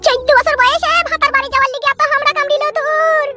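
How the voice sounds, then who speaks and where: A performer voicing a scolding, exasperated village mother in a Bengali comedy cartoon, with a rural West Bengal accent and a pitch-shifted voice. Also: steady tone, racing, exaggerated voice. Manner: nagging, shrill scolding in village dialect. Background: loud music.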